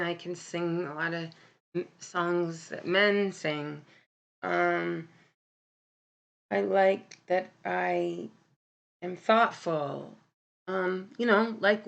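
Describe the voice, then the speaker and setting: A woman with a deep voice hosting a casual live stream, talking candidly and bluntly with many ums and self-corrections. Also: gravelly, fairly bright tone. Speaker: livestream host. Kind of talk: casual live-stream talk about mental health.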